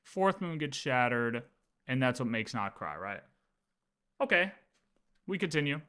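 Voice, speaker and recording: A man livestreaming in a casual, animated way, talking through lore with viewers, repeating phrases and often checking that they follow. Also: medium pitch, unhurried, clean tone. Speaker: streamer.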